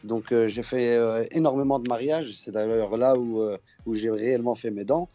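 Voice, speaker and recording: A man from Belgium, a guest musician talking in a relaxed way with frequent fillers and restarts. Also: medium pitch, normal speed, dry recording, lo-fi sound.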